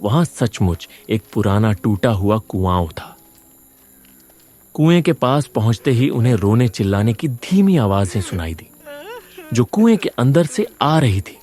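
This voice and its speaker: A man's voice: mid-range voice, sing-song, unhurried, mellow. A man reading a scripted horror story as a dramatic narrator.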